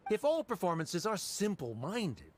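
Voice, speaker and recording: An actor playing a condescending academy scholar in a video game, pompous and lecturing. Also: male voice, sits high, huge pitch swings, a little slow, darkish tone, a little hiss or hum, exaggerated voice. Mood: lofty, lecturing.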